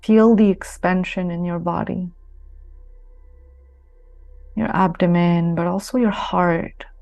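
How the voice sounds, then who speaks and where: A woman guides a meditation with a soothing, very slow delivery and long pauses. Her low-set voice stays soft-toned and mellow, with little melodic movement, over gentle music. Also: steady tone, gravelly, no echo, muffled recording. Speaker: yoga nidra guide.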